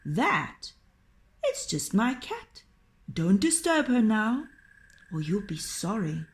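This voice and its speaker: A woman voicing a calm, unafraid male innkeeper in a children's storybook, unruffled and slyly understated. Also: mid-range voice, slow. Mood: calm warning. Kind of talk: storybook character voice.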